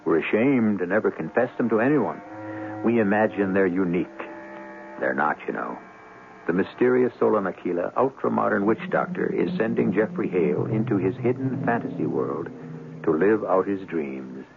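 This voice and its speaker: A man's voice: deep voice, animated, normal speed, gravelly, slight background noise. A man narrating a 1970s radio mystery as its host, in an ominous, confiding, scripted style. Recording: lo-fi old radio broadcast. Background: loud dramatic music.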